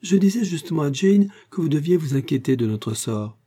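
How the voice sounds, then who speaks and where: A man's voice: on the high side, animated, a little fast, warm tone, breathy edge, pitched above the performer's own voice. A man reading aloud, voicing a vain young bride who talks in a showy, self-satisfied way.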